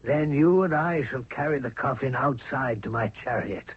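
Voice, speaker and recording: An actor playing a cold-blooded, scheming royal courtier in a 1970s radio drama, persuasive and deliberate. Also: male voice, mid-range voice, slightly rough, no room sound. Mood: cold plotting. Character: scheming, cold-blooded male courtier plotting murder of the pharaoh. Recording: narrow, tinny 1970s radio recording.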